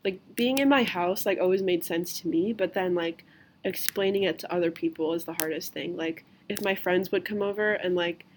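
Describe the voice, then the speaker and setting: A woman's voice: fairly low pitch, moderate pace, a little hiss or hum. A young woman in her twenties from the United States, hosting a casual podcast chat and filling her talk with "like". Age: 20s. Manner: says "like" constantly, loose and chatty. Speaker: podcast host.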